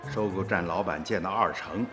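Father-narrator: upright, lower-pitched, dramatic first-person storytelling with long reverb. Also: moderate pace, soft background music. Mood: reflective. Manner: storytelling, expressive. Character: upright, watchful father recounting his memories.

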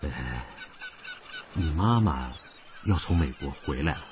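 A man's voice: extremely low pitch, lively intonation, measured pace, dull audio, noisy background. An actor in a radio drama playing a genial, caring father, a humble boatman who talks hesitantly with many small interjections. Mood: gentle, hesitant.

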